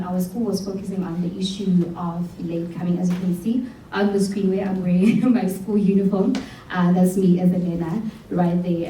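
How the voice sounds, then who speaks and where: A young woman with a South African accent speaks earnestly, pausing to restart her thoughts. Her voice is mellow and unhurried. She is heard from a distance in an echoing hall over a faint low hum. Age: young adult. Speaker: program alumna and speaker. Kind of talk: speaking on stage at a showcase. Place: event hall.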